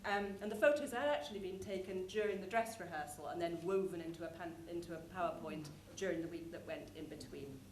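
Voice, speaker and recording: A woman's voice: fairly low pitch, fast, few pauses. A woman presenter giving an informal talk at a conference. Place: conference hall, live presentation. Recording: echoey room with background noise.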